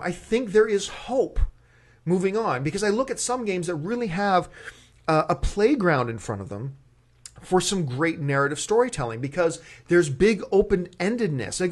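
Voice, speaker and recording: Male host, casual opinionated movie chat, fairly high voice, very lively intonation, emphatic repetition. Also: slightly rough. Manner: emphatic, repeats words for emphasis. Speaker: talk show host.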